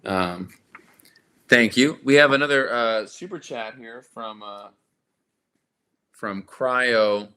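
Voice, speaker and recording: A man with a slightly raspy voice hosting a casual livestream chat, rambling warmly with lots of fillers and restarts. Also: mid-range voice, slow, long pauses. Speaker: livestream host. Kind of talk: casual live chat with viewers.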